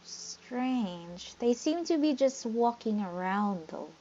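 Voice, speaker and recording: A woman reacts casually as she plays a game, in short offhand remarks. Her pace is slow and the recording dull, with faint noise. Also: fairly high pitch, expressive, warm tone. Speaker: game streamer. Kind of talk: casual playthrough commentary.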